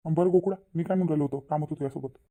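A man's voice: on the high side, fairly even intonation, racing, mellow, natural voice. A man voicing a bossy but doting middle-aged village father in a cartoon, insistent and scolding, with a rural Maharashtrian accent. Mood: impatient. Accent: rural Maharashtrian Marathi. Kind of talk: cartoon comedy dialogue.

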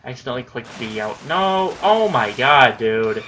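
Chatty young man gaming, bright voice. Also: medium pitch, lively intonation, normal speed, a little hiss or hum. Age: young adult. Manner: rambling, chatty, swears when the game goes wrong. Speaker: gaming YouTuber. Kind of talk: casual commentary over gameplay.

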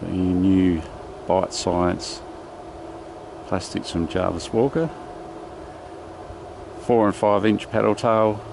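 Deep, gravelly angler. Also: male voice, takes it slowly, pauses often. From Australia. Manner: laid-back, matter-of-fact gear talk with excited outbursts. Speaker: fishing vlogger. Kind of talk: casual fishing commentary. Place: outdoors at a reservoir shore, wind and water. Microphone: body-mounted action camera. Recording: wind and water noise outdoors.

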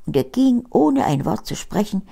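An elderly woman, reading a fairy tale aloud as an expressive grandmotherly storyteller. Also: medium pitch, moderate pace, vocal fry. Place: small book-filled living room. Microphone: lapel mic.

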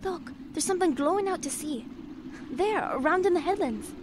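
A woman's voice: very high voice, lively intonation, moderate pace, noisy background. A woman playing a plucky, eager wartime boy in an audio drama, speaking. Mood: hushed, urgent.

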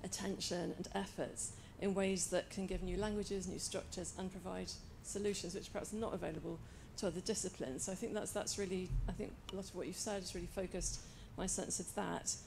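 Gravelly-voiced woman academic. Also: on the low side, little pitch movement, noisy background. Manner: articulate, thoughtful, measured. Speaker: academic audience member. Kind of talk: panel discussion remarks. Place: lecture hall, in the audience.

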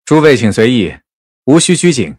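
An actor playing a suave, gentle-mannered young usurper emperor, measured and courtly, in a period audio drama. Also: male voice, medium pitch, big pitch swings, breathy edge, no room sound, clean studio sound. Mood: courteous, composed.

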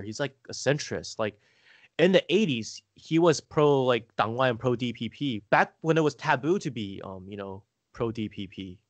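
A man telling family stories casually as a podcast guest, halting and rambling, saying "like" often. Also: mid-range voice, no echo. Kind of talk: casual conversational storytelling.

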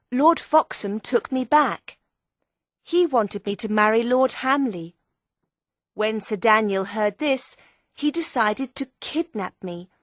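A woman playing a brave young noblewoman in a dramatized storybook, earnest and clearly enunciated. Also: a little high, a bit bright, no echo, clean studio sound, full character voice. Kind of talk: dramatized audiobook dialogue.

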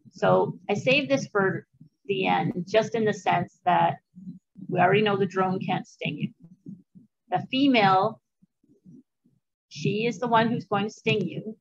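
An older woman with a gravelly, slightly husky voice talks through her slides in an easygoing, anecdotal way, peppering her explanations with asides. The sound is dull and lo-fi, picked up by a webcam mic on a video call from a small room at home. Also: medium pitch, a little slow, pauses often. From Canada. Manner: chatty, anecdotal, with frequent fillers and asides. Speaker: beekeeper giving a presentation. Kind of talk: casual informal talk over slides.

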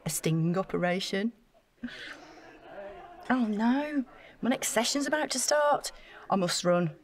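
A woman's voice: medium pitch, expressive, slow. A woman playing an anxious, apologetic, reluctant accomplice in an audio drama, hesitant and unsure. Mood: flustered.

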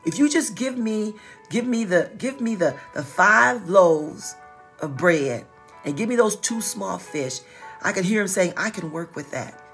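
A woman's voice: medium pitch, animated, measured pace, fairly bright tone, soft background music. A middle-aged woman preaching informally as a pastor, repeating phrases for emphasis, with a slight stutter. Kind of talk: informal livestreamed sermon. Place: small wood-paneled office.

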